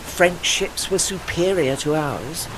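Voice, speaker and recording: An actor voicing a proud, ageing warship in an audio play, with formal, dry, witty and faintly pompous diction. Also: male voice, on the high side, slow pace, background noise.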